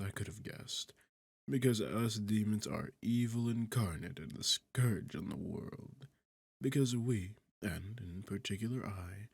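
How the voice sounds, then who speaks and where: A man's voice: lowish voice, no room sound, heavily acted. A man playing a sarcastic, seductive, arrogant demon king in an intimate ASMR fantasy roleplay, teasing and condescending as he talks. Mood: mocking. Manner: sardonic, condescending, teasing, smooth-talking. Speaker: audio roleplay performer.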